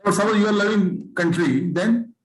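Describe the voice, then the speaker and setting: Middle-aged man student, slightly high voice, echoey room, halting persistent questions. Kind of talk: questions in online class. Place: plain room at home. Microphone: video call laptop mic. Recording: echoey room on a video call.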